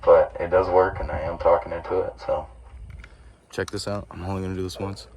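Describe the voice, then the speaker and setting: A man's voice: low-pitched, fairly even intonation, brisk. A man presenting a casual hands-on tutorial, rambling and informal with some mild swearing, recorded on a phone camera. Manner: rambling, informal, mildly profane. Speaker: do-it-yourself video presenter. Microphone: phone camera held while working. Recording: clearly noisy ambient phone recording. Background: ambient noise.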